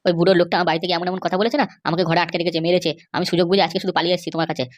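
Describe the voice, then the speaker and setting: A woman's voice: on the low side, flat delivery, lightly acted. A woman voicing a scheming, pleading young runaway man in a comedy cartoon, with a pushy, wheedling manner. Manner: wheedling, pushy, fast-talking.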